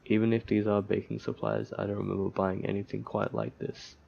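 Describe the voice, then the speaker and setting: Male let's player, heavy vocal fry, casual read-aloud with offhand joking commentary, muffled low-fidelity recording. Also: flat delivery, brisk, darkish tone. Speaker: let's player reading a visual novel aloud. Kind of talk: casual gaming commentary mixed with reading game text aloud.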